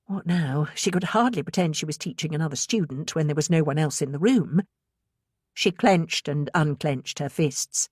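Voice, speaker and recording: A woman's voice: low voice, normal speed, a little mellow, husky, dry recording, clean studio sound. A woman reading scripted suspense fiction as an audiobook narrator.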